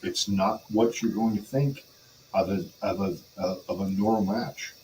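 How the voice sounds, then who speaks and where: A man playing a big, menacing pro wrestler who delivers a dramatic, halting challenge promo. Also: on the low side, a little mellow, recorded from a distance, slight background noise, natural voice, rough recording. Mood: menacing, challenging. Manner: dramatic, halting, calls opponent brother.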